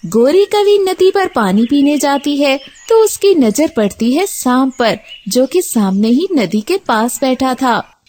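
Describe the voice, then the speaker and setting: A woman tells a scripted story for children as its narrator. Her voice is high and she takes her time, reading slowly. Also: sing-song, darkish tone.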